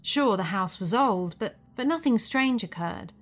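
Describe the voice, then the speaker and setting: A woman's voice: on the high side, animated, unhurried. A woman narrating a spooky first-person story from a script. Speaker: narrator of a creepy true story.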